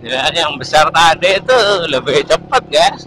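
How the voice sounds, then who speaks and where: A man's voice: on the high side, expressive, normal speed, bright, forceful tone, low-quality recording. A man narrating casually while riding a motorcycle on rural roads, talking to his viewers through a helmet-mounted camera. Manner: chatty, keeps saying "guys" to viewers. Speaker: motovlogger. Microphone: helmet-mounted action camera. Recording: road and engine noise.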